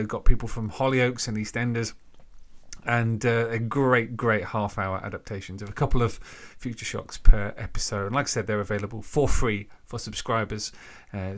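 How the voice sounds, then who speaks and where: Low-voiced man hosting a podcast. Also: pushed and bright. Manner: halting, thinking aloud between phrases. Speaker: podcast host. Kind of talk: informal podcast introduction.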